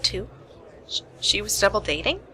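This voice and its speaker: A woman's voice: medium pitch, big pitch swings, normal speed, sharp tone. A woman playing a wry, determined young private investigator in an amateur radio play. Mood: wry, brisk.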